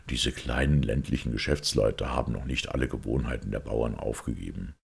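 A man reading the part of a shrewd, calm priest detective in an audiobook, in a measured, deliberate way. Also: extremely low pitch, creaky, husky, heavily acted. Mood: knowing.

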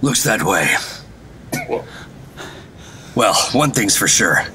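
A man's voice: lowish voice, big pitch swings, takes it slowly, bright, forceful tone, slight background noise, full character voice. A man playing a determined, wry 1950s government agent in an old-time radio drama, with clipped, hardboiled storytelling. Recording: dramatic music behind the voice.

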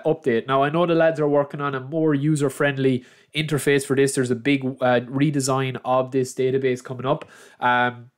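A young man with a head cold that makes him a bit nasal, chatting through a screen-recorded football game guide and calling his viewers lads. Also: medium pitch. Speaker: gaming channel host. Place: at a computer, voice-over of screen recording.

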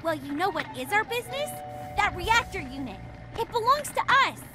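A woman voicing a plucky child superhero in an animated children's game, assertive and challenging. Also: very high-pitched, normal speed. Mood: defiant. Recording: music under the voice.

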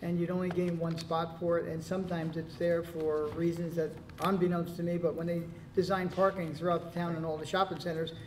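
A man on a town board talks casually in a fairly high voice, asking questions and thinking aloud. The meeting room sounds echoey, with a faint hum underneath. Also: little pitch movement, fairly quick, hardly any pauses. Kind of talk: public meeting discussion.

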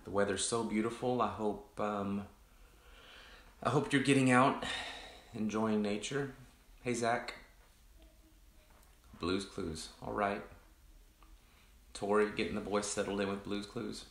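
A man, a pastor casually chatting with online viewers in a rambling, reflective way, his voice deepened by allergies. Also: fairly even intonation, slow, long pauses, a bit bright, roomy sound. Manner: rambling, many restarts and fillers, greets viewers by name. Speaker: pastor hosting an online coffee chat.

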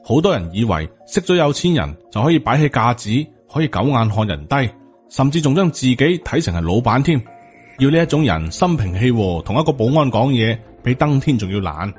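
A man's voice: medium pitch, very animated, brisk. Guy reading a novel in hong kong cantonese, storyteller style, music behind him. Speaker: audiobook narrator. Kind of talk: scripted novel narration, storytelling. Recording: music under the voice. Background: background music.

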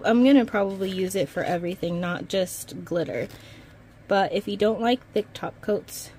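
A woman's voice: medium pitch, darkish tone, a little hiss or hum. A woman chatting casually and enthusiastically as she shows off nail polish products as a vlogger. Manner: gushing, enthusiastic. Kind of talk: casual product showcase chat.

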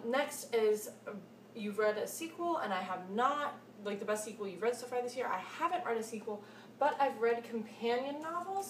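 A woman in her twenties chatting casually to camera about books, gushing and repeating words for emphasis, recorded in a room at home. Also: on the high side. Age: 20s. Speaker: book reviewer vlogger. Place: small cluttered room at home, indoors. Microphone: camera mic a short distance away. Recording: echoey room, distant mic, faint hiss.

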